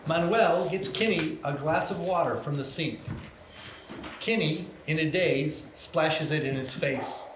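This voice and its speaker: A man's voice: a little high, unhurried. A man reading scripted scene directions as the narrator of a live screenplay table read. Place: indoor venue, live reading. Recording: echoey room with background noise.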